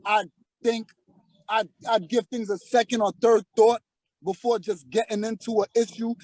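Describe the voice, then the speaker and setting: A man's voice: high voice, flat delivery, moderate pace, darkish tone, dry recording, poor audio. A man in his forties, a former student of the host, talking reflectively and filling his speech with "like" and "you know". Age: 40s. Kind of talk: casual reflective chat.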